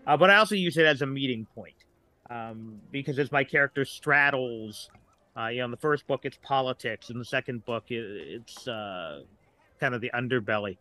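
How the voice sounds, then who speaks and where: A middle-aged man talking casually as a podcast guest, a writer who haltingly searches for examples as he goes. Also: mid-range voice, bright, forceful tone.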